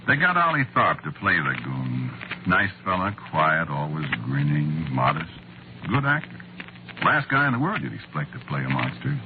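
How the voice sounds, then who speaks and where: An American actor playing a wry, put-upon screenwriter in a 1940s radio drama, talking in a halting, conversational, sardonic way. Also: male voice, a bass voice, wildly swooping pitch, exaggerated voice. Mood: wry, confiding. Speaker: narrator and lead actor. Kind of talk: 1940s radio acting, conversational first-person narration. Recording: muffled, noisy old radio recording.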